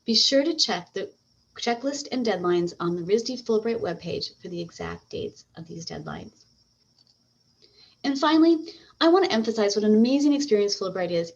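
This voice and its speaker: A woman's voice: medium pitch, muffled recording. A woman advising students in an online info session, upbeat with filler ums, speaking over a video call. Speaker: fellowship advisor.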